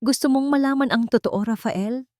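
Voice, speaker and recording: A woman's voice: sits high, moderate pace, darkish tone, dry recording, voice pushed up, close to an everyday voice. A venomous, elegant matriarch of a wealthy family, played by a woman in a radio drama. She is haughty, dismissive and full of hate. The recording is dry and close. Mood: venomous, confessing. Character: venomous elegant rich matriarch, cruel and scornful. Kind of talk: Tagalog radio drama acting.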